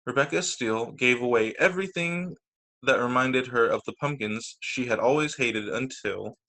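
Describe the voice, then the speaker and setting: A man's voice: mid-range voice, normal speed, pushed and bright, rough recording. A man reading picture books aloud to children as a teacher, narrating over a video call. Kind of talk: storytime narration read aloud.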